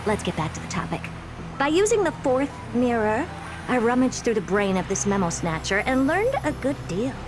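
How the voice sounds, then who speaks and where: A self-assured genius researcher from a video game in a lively, swooping voice. She is playful yet condescending and gives orders with theatrical flair. Music plays clearly behind her. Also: fairly high pitch, moderate pace. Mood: smug, explanatory.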